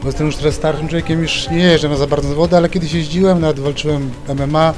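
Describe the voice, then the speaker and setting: A man's voice: fairly high pitch, steady tone, fast. A man in his forties, a martial arts athlete, answering in an interview on a handheld microphone at a gym. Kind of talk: on-camera interview. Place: doorway of busy gym hall. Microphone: handheld reporter microphone. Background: music.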